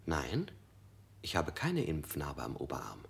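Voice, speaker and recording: An actor playing an anxious male suspect in a radio play, narrating in a measured, introspective way. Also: extremely low pitch, huge pitch swings, gravelly, heavily acted. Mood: uneasy. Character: anxious, guilt-ridden male suspect under interrogation. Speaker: first-person narrator.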